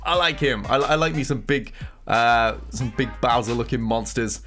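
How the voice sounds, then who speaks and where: A man's voice: medium pitch, moderate pace, a bit bright, soft background music. Nasal-sounding man with a cold. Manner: rambling, thinking aloud while reacting. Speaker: gaming content creator. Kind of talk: casual commentary on game news.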